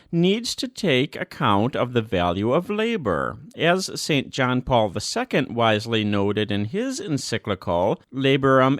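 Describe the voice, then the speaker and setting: A man giving a scripted reading of a religious document, phrase by measured phrase, with deliberate emphasis. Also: medium pitch, dry recording, clean studio sound. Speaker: reader.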